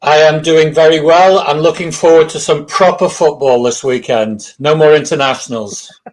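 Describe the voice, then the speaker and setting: An older man from Liverpool, England, co-hosting a podcast and reminiscing in a measured, slightly hesitant way. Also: fairly high pitch, slight background noise, low-quality recording. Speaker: podcast co-host, coach. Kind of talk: casual reminiscing chat.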